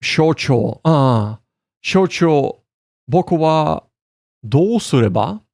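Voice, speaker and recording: A man's voice: fairly high pitch, expressive, takes it slowly, darkish tone, no room sound, clean studio sound. A man chatting casually on a study livestream, thinking aloud and reflecting on himself in a rambling way. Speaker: streamer learning Japanese. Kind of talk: casual livestream chat with study and game reading.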